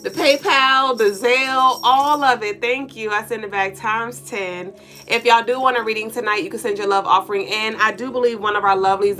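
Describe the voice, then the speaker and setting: A woman co-hosting a casual livestream, congested and nasal, lively and playful as she greets viewers by name. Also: medium pitch. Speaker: livestream co-host.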